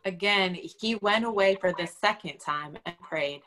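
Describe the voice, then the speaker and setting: A woman's voice: medium pitch, a little slow, a bit bright. A woman giving a dramatic reading of scripture as a church reader. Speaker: church lay or clergy reader.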